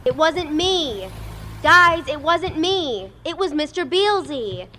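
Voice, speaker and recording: A creepy, taunting little boy, acted by a woman in an audio drama, high and sharp, with very slow, drawn-out delivery. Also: animated, slight background noise, heavily acted. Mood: insistent, eerie.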